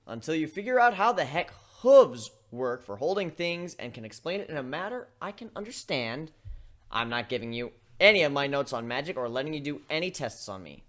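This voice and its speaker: A man's voice: a little high, big pitch swings, brisk, fairly bright tone. A man voicing a young male griffin in human form in a dramatic reading, blunt, offhand and wry. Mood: blunt refusal. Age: young adult. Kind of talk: dramatic fan-fiction reading.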